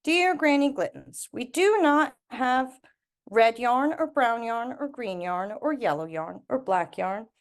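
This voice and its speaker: Woman reading as an apologetic, measured storybook shopkeeper. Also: a little high, lively intonation. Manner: measured, listing, repetitive. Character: apologetic yarn store writing a formal letter.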